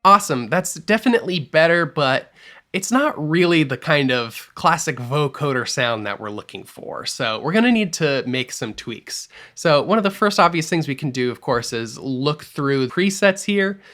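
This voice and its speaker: Lively upbeat man explaining music software. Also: on the high side, normal speed. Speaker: music production tutor. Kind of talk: screen-recorded software tutorial.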